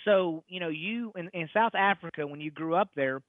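A man with an East Texas accent hosting a casual radio talk show, folksy and halting, often repeating words as he goes. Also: a little high, no echo. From East Texas, United States. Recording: tinny, narrow phone-like radio sound.

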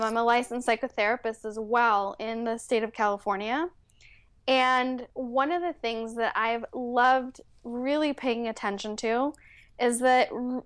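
A woman's voice: on the high side, clear, resonant tone, dry recording, clean studio sound. A woman from Mexico City whose first language is Spanish, chatting upbeat and friendly as an interview guest, with frequent ums and likes. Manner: friendly, upbeat, uses like and um often. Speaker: podcast guest, entrepreneur and psychotherapist. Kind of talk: casual interview chat.

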